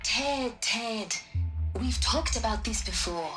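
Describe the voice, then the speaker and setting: An actress playing an earnest female scientist in a video game, pleading in a measured way. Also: on the high side, lively intonation, over music.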